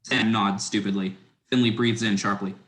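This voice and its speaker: An echoing, roomy recording carries a man's scripted narration. His voice is fairly low as he reads out stage directions. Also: fairly even intonation, moderate pace, a bit bright.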